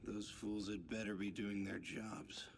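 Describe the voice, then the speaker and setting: An actor playing a cold, calculating yakuza villain in an anime dub clip, with a low gravelly whisper. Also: male voice, level tone, unhurried. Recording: clip played with clear background noise.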